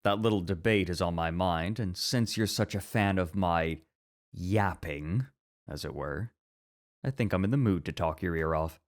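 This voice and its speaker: Low-voiced, thoughtful male academic played by an actor, composed and formal, for ASMR roleplay. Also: normal speed, lightly acted. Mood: helpful, wry. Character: composed, introspective, scholarly male college teacher.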